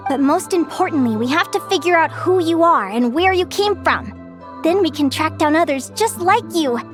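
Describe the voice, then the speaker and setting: A woman playing a cheerful, curious young bird fae girl adventurer in a video game, earnest, dreamy and expressive. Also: very high voice. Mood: friendly. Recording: game music under the voice. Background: game music.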